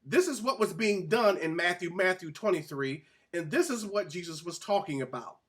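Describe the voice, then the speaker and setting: High-voiced man teaching scripture emphatically. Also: animated, moderate pace, a bit bright. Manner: emphatic, didactic, addressing listeners directly. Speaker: host and author reading from own book. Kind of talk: religious teaching talk with read-aloud excerpts.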